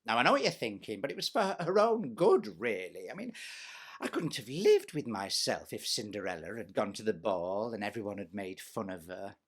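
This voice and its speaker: A man's voice: fairly low pitch, big pitch swings, normal speed. A man voicing a vain, bossy, spiteful stepsister in a chatty, self-satisfied comic pantomime monologue. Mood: indignant, dramatic.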